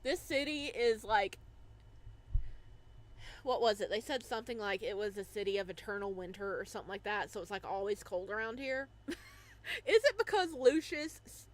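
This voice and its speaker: A woman's voice: mid-range voice, normal speed. A woman reading a visual novel aloud, narrating and adding giggly, chatty asides.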